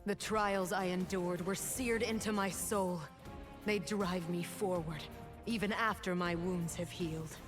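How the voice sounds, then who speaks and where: An actress playing a dark, wounded winged woman from a game, speaking in a solemn, measured, theatrical way. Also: lowish voice, fairly even intonation, close to an everyday voice. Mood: solemn, bitter. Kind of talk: dramatic game character voice lines. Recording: music behind the voice.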